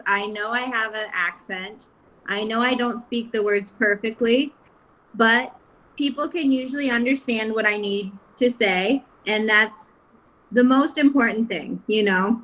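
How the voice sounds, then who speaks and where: A woman's voice: on the high side, poor audio. American woman guest, casual and chatty, laptop mic. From United States. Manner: relaxed, chatty, lots of "you know". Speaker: guest speaker. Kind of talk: casual video-call chat.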